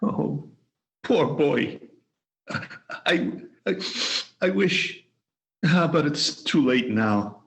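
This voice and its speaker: A man's voice: fairly high pitch, very animated, unhurried, fairly bright tone. A man playing a nervous, remorseful old miser in a radio play, stammering and hesitant. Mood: tearful, evasive.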